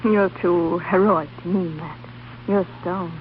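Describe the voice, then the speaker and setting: A woman's voice: fairly low pitch, fairly even intonation, slow pace, a little hiss or hum. An actress playing an ardent, vulnerable woman in love, with an earnest, plaintive delivery in an old-time radio drama. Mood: tender, wistful. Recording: dull vintage radio recording, music underneath.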